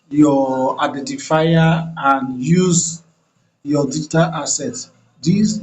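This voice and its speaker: A man casually announcing his company's software plugins, explaining in loose, unhurried phrasing. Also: fairly high pitch, poor audio.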